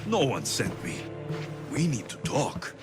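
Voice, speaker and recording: An actor playing a gruff, protective mercenary man who talks in blunt, clipped lines, heard in a game cutscene over loud music. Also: mid-range voice, wildly swooping pitch, slow pace. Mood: probing. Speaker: game protagonist.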